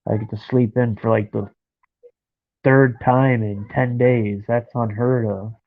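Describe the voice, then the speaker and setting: A man's voice: mid-range voice, fairly even intonation, measured pace, dry recording. Stuffed-up man chatting on a phone stream. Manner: rambling, chatty, talks to viewers by name. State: stuffed-up nose. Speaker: live streamer chatting with viewers. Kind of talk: casual live stream chat. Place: in bed at home. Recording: dry, phone-like lo-fi sound.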